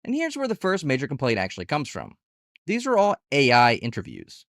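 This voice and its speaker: Male reviewer, lively swooping intonation, medium pitch, candid conversational tutorial narration, dry close sound. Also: brisk, no echo. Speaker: video host reviewing online gig work platforms.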